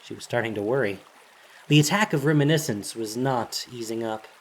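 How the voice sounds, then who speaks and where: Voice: medium pitch, fairly quick, a little hiss or hum. A narrator reading a scripted, dramatic story for an audio drama.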